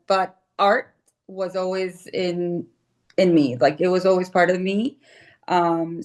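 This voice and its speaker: A middle-aged woman from Guatemala, an artist guest talking casually and reflectively, tentatively searching for her words. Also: on the low side. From born in Guatemala, moved to the United States very young. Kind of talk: casual reflective interview talk.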